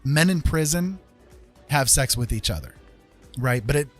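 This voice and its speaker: A man's voice: mid-range voice, expressive, unhurried, faint music behind. A man hosting a casual livestream, talking in an emphatic, opinionated, conversational way and often checking in with the listener. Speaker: livestream host.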